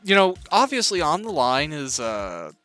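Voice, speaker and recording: A man's voice: on the high side, huge pitch swings, moderate pace, pushed and bright, soft background music. A man hosting a casual interview while gaming, conversational and often thinking aloud. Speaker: interviewer and host.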